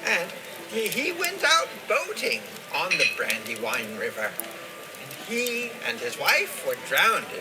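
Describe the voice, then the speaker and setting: Old hobbit gardener, folksy, opinionated, very swoopy intonation, unhurried, audio play. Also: male voice, high voice, huge pitch swings, loud music behind the voice, heavily acted, low-quality recording. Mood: gossipy. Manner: rambling, gossipy, rustic phrasing. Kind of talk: dramatized audio play dialogue.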